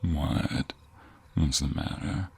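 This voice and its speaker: A man playing a teasing, menacing bandit in an audio roleplay, with slow, hushed, drawn-out taunts. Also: very deep voice, vocal fry, very noisy, heavily acted. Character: menacing, teasing male bandit stalking his prey in dark woods.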